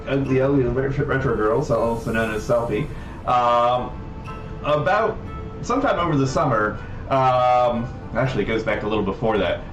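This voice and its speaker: A man hosting a casual livestream, talking in a rambling, halting way. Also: mid-range voice, lo-fi sound. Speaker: livestream host. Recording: roomy echo, distant mic, background music.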